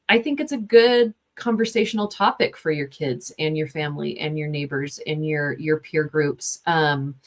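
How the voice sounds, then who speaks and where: A woman film reviewer hosting an interview, chatty and lively, talking in a casual way with lots of fillers. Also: lowish voice.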